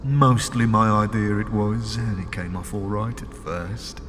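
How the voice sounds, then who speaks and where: A man voicing a chatty, crude male ghost of a grave robber who speaks in a rough street dialect and cackles between his sentences. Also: on the low side, fairly even intonation, a little slow, a little hiss or hum. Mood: rambling. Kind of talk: fan audio drama acting. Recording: long hall reverb under loud music.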